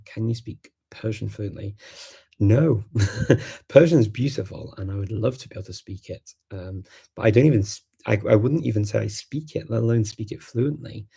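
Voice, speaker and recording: Low gravelly man chatting casually. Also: dark tone. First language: Macedonian. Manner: chatty, thinks aloud with many ums and restarts. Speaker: livestream host answering viewer questions. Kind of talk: casual live chat.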